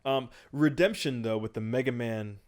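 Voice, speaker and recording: An enthusiastic man in his thirties chatting on a podcast. He talks eagerly and hums tunes now and then. His voice sits mid-range in pitch. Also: normal speed, darkish tone. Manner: enthusiastic, nerdy, hums theme songs. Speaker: podcast co-host. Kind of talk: casual chat.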